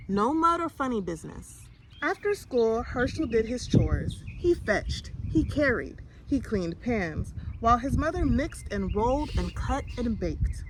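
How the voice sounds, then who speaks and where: Lady reading a kids book out loud, higher voice, really lively, some background noise. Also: unhurried. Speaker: storybook reader. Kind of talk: expressive children's read-aloud.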